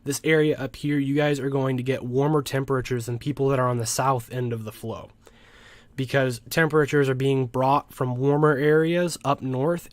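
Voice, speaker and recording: A man's voice: mid-range voice, no room sound. A young adult man giving an informal weather explainer as a forecast host, conversational and a little hesitant, often correcting himself as he goes. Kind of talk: informal explainer commentary over weather maps.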